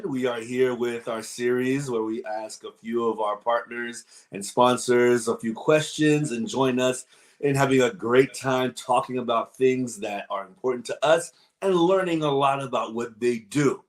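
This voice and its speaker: Enthusiastic male host, welcoming, effusive, mid-pitched voice. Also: measured pace. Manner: upbeat, effusive, repeats "excited". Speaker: host, moderator. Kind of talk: welcoming interview introduction.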